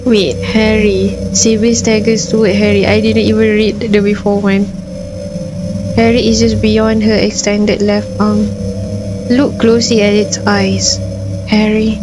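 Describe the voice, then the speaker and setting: A woman's voice: medium pitch, flat delivery, a little slow, low-quality recording. A woman doing gameplay commentary, switching between casual reactions and reading story text aloud, with game music behind her. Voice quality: frequent throat clearing. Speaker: gameplay streamer. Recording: background music under voice.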